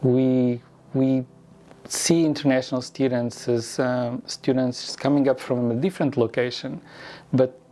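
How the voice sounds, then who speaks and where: A middle-aged man speaks as a university administrator, laying things out in a careful, formal way. His voice is warm and mellow. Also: mid-range voice, flat delivery, measured pace. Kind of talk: interview explanation.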